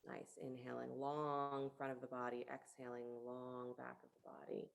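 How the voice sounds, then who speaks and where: Very deep, creaky-voiced woman teaching yoga. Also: flat delivery, normal speed. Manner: encouraging, conversational, cueing movements step by step. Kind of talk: guided yoga class instruction.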